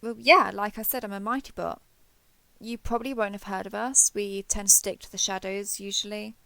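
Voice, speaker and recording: A woman playing a giant friendly alien robot soldier in an audio roleplay, gentle, reassuring and a little awkward with the listener. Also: medium pitch, fairly even intonation, moderate pace, gravelly, no echo. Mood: friendly, earnest. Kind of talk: scripted roleplay audio drama addressed to listener.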